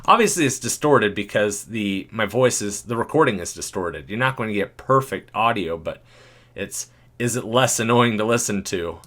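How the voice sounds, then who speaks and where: A man with a deep, low-set voice talks through a software tutorial in a loose, chatty way. He thinks aloud and chuckles often. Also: animated, moderate pace. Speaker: video creator.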